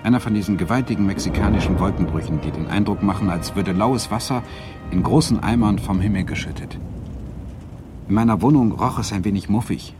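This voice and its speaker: An actor playing a reflective male first-person narrator, measured and literary, in a radio play. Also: lowish voice, music in the background, lightly acted.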